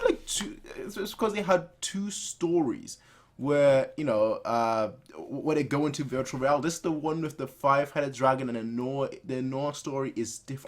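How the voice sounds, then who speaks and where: A man hosting a reaction video with casual commentary, stammering and repeating phrases for emphasis. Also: medium pitch. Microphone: desktop webcam setup.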